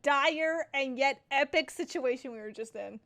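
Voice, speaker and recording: A woman's voice: high voice, moderate pace, fairly bright tone. A woman streamer giving chatty, giggly commentary over gameplay. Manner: giggly, chatty, reacting aloud. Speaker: gaming streamer.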